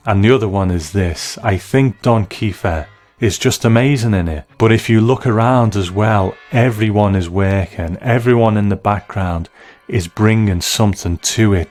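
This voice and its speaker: A man's voice: on the low side, a little mellow. A man hosting a scripted retrospective, giving appreciative commentary on a classic show. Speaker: host. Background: music.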